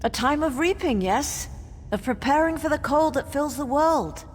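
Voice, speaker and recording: A woman's voice: sits high, animated, normal speed. An actress playing a solemn, ancient female spirit guardian who speaks cryptic riddles ceremonially in a fantasy game. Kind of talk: theatrical fantasy game dialogue. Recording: cavernous long reverb with background noise.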